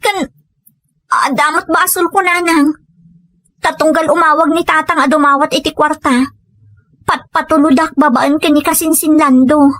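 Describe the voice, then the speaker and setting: A woman's voice: high-pitched, steady tone, moderate pace, long pauses, fairly bright tone, no room sound. A woman playing a dismayed, reproachful hard-working wife with an Ilocano accent, questioning and pleading in an audio drama. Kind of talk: Ilocano comedy drama acting.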